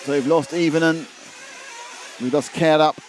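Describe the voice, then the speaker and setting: A race commentator, a man who keeps up a chatty play-by-play of the action. His voice sits fairly high, with clear background noise from the track. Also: steady tone.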